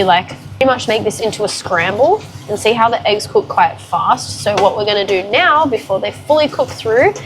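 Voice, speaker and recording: A woman's voice: medium pitch, noisy background. A young woman with a congested, sick-sounding voice, chatting frankly and upbeat through a cooking tutorial in her home kitchen. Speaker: fitness vlogger.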